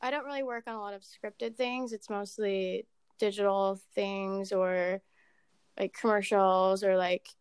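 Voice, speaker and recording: A woman in her twenties, a podcast guest, chatting casually about her work in a level, monotone way. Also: medium pitch, a little slow, smooth, no room sound. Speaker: podcast guest, assistant director. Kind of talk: casual chat.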